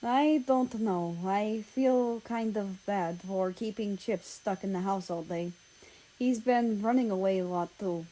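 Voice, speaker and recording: A woman reading a story aloud as a gentle, lonely, self-doubting man, subdued and hesitant. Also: medium pitch, measured pace, close to an everyday voice, poor audio. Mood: apologetic.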